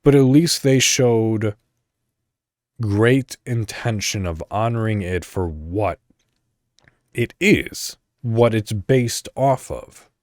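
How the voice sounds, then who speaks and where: A man's voice: fairly low pitch, slow pace, darkish tone, no room sound, clean studio sound. A man hosting a casual solo podcast, rambling as he thinks aloud and swearing offhandedly. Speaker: podcast host.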